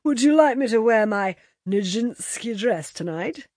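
A woman voicing flamboyant, flippant young bohemian men in an audiobook, loud, hearty and affected. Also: on the low side, very animated, moderate pace, no room sound, studio quality. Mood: hearty, arch. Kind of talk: audiobook character dialogue.

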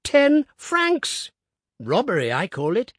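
Frail old man, put on by a male reader, piping, quavering, high and thin, husky, chatty, storybook. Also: very animated, unhurried, dry recording, clean studio sound, heavily acted. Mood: chattering.

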